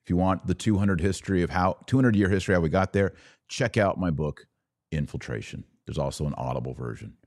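A man's voice: deep voice, a little fast, darkish tone, dry recording, clean studio sound. An American man giving opinionated solo podcast commentary, sarcastic and emphatic, repeating phrases for effect.

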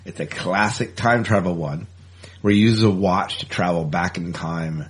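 A man's voice: low voice, normal speed, husky. A man hosting a podcast in a casual, informal, easygoing chat style. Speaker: podcast host.

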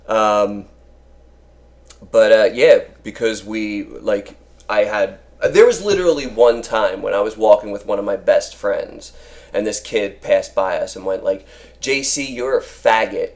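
A deep-voiced man sits for a casual podcast conversation. He rambles, circles back on himself and swears without a second thought. His pitch sits low. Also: a little slow. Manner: rambling, swears freely, many fillers. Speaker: guest.

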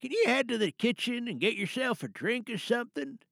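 Guy acting out a protective older dad in an audio play, grim and halting, creaky voice, really dry sound. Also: extremely high pitch, lively intonation, no room sound, heavily acted. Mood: grimly practical. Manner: halting, hushed reassurance turning cold and deliberate. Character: protective grim older father, calm, coldly menacing. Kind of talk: dramatic audio monologue, one side of a phone call.

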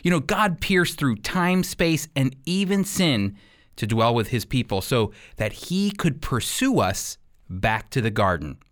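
A man's voice: medium pitch, big pitch swings, a little slow, fairly bright tone. An American man teaching the Bible as a radio host, speaking earnestly and emphatically in a conversational preaching style. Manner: earnest, emphatic, rhetorical questions.

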